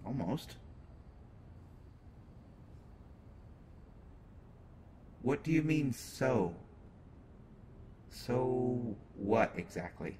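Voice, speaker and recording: A man playing a flirtatious, teasing superhero who is secretly smitten, in a halting, playful conversational way for a roleplay audio drama. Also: medium pitch, expressive, gravelly, darkish tone, slight background noise. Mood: apologetic, teasing. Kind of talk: roleplay audio drama, acted monologue to listener.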